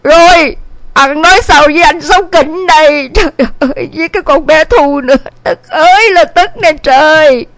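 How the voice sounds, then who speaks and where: Woman acting fiery southern Vietnamese village mother, very animated. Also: sits high, a little slow, pauses often, no echo, poor audio. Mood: bitter, upset. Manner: scolding, exclamatory, dramatic. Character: scolding middle-aged village mother, fiery and exasperated. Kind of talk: dramatised audio story acting.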